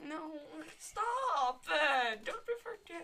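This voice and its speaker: A woman's voice: sits high, sing-song, slow pace, crowd noise. A young woman reading a fanfiction aloud with a friend, incredulous and tripping over words. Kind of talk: casual comedic reading.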